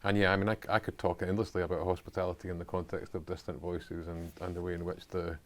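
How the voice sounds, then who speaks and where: A man hosting a podcast conversation, speaking thoughtfully and pausing often with hesitant ums. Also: low-pitched, flat delivery. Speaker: podcast host.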